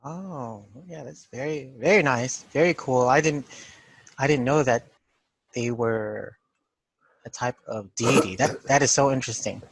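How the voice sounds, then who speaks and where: A man with a Filipino accent hosting a relaxed art history lesson, asking his guest questions and laughing easily. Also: mid-range voice, measured pace, slightly rough. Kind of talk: casual classroom discussion.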